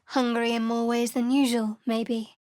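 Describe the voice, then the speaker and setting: A woman voicing a guarded, sardonic young female cleric of a dark goddess in a fantasy video game, with a dry, secretive, teasing manner. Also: a little high, level tone, measured pace, no echo, close to an everyday voice. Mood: teasing, sly. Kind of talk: video game companion voice lines.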